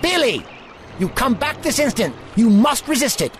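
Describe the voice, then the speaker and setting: A man's voice: very high-pitched, very animated, moderate pace, heavily acted. A man playing an eccentric, authoritative older adventurer, cautious and kindly, speaking formally and precisely in an audio drama. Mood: firm, avuncular. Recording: voice over prominent music.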